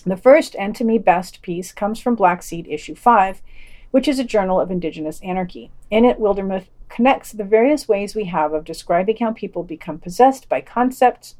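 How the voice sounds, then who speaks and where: A woman's voice: medium pitch, warm tone. A woman presenting a podcast, narrating scripted reviews of written pieces.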